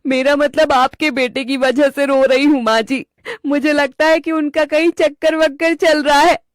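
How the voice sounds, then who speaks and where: A woman's voice: sits high, fairly even intonation, normal speed, no room sound, clean studio sound, lightly acted. A woman voicing a young, suspicious wife who complains to her mother-in-law in a whiny, dramatic way, in a cartoon story. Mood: aggrieved.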